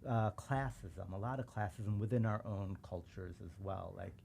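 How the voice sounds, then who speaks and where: Mellow-voiced man, earnest and reflective. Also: on the low side, moderate pace, vocal fry, a little hiss or hum. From suburban Chicago. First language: Urdu. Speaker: podcast guest, civil rights lawyer. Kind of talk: casual interview chat.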